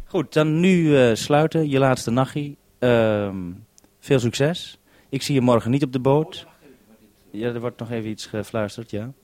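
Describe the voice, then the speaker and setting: A man's voice: medium pitch, measured pace, darkish tone. A man from the Netherlands hosting a two-way radio conversation, handing back with "over" as he goes. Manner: says "over" to hand back. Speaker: radio host.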